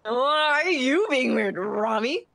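A woman's voice: high-pitched, lively intonation, slow, gravelly, fairly bright tone, dry recording. A woman in casual in-character game phone banter, blunt, crude and sarcastic. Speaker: role-play gamer.

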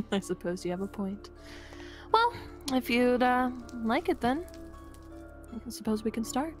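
A woman's voice: on the high side, big pitch swings, unhurried. Woman playing a sly witch over background music. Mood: teasing, doubtful. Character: sly, smug witch woman with hidden motives. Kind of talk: improvised game roleplay acting. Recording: music behind the voice.

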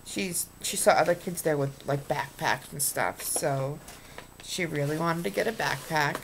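A woman's voice: deep voice, measured pace, vocal fry, a little hiss or hum. A woman in her thirties chatting casually in a home vlog, rambling with ums and restarts as she talks at her webcam. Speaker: vlogger showing purchases. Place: small room indoors. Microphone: webcam at desk.

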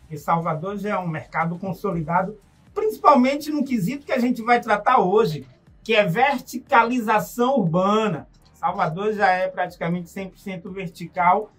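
A man's voice: sits high, moderate pace, a bit bright, breathy edge, recorded from a distance, faint music behind. A man hosting a radio interview, asking upbeat leading questions in a studio. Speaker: radio show host.

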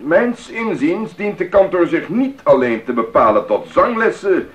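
A man's voice: fairly high pitch, very animated, unhurried. A man playing a formal, exacting church council member in a radio play, businesslike in delivery. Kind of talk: 1980s Dutch radio play acting.

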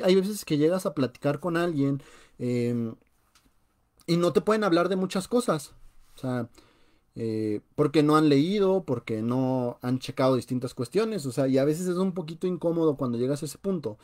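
A man's voice: a little high, darkish tone. A young man with a Mexican Spanish accent, hosting a live-streamed personal finance talk from a home room over a webcam, conversational and emphatic, often saying "o sea". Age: young adult. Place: small room at home, indoors. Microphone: webcam on a video call.

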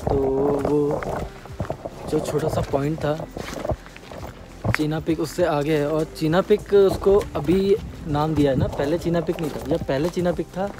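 A young man in his twenties narrates a travel vlog while he rides and hikes up a misty mountain trail, talking to his viewers in a chatty way. His voice sits a bit high for a man. Loud wind roars over the camera mic, which he holds out at arm's length on a stick. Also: fairly even intonation, a little slow. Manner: chatty, addresses viewers directly, asks companions questions. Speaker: motovlogger host. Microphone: handheld camera on a selfie stick at arm's length. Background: loud wind and outdoor noise.

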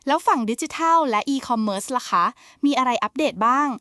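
A woman's voice: high voice, fast, pushed and bright, no echo, studio quality. A woman, an enthusiastic co-host prompting with questions in a scripted, conversational finance podcast.

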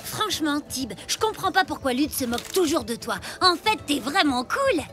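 Bossy sneaky cartoon girl, super high, bouncy voice, music under it. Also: moderate pace. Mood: scheming. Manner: bossy, animated and wheedling. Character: scheming bossy village girl. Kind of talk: French cartoon voice acting.

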